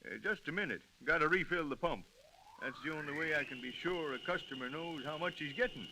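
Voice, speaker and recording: An actor playing a kindly, plucky old blind gas station owner in an old-time radio drama, with folksy, hesitant speech. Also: male voice, fairly high pitch, fairly quick, bright, forceful tone. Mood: patient, friendly. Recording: noisy, clicking old radio drama recording.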